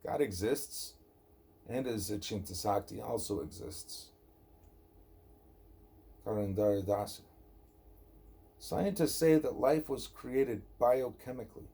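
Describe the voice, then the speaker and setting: Husky, mellow man reading aloud. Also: on the low side, slow pace, pauses often. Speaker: audiobook reader. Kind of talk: read-aloud spiritual dialogue, scripted narration.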